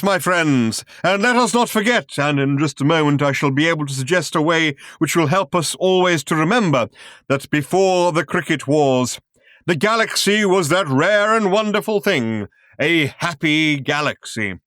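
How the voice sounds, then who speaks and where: A man voicing a bombastic showman orator from a galactic spectacle in a science fiction audiobook, grandiose, drawn-out and sentimental, with a thunderous delivery. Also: a little high, animated, fairly bright tone, dry recording, studio quality, voice pushed up. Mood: stirring, sentimental.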